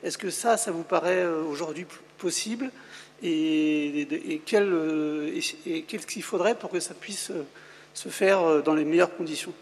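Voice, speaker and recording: A man asking a question from the audience, very halting, repeating syllables and starting phrases over. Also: on the high side. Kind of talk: spontaneous question from the floor. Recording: reverberant hall sound.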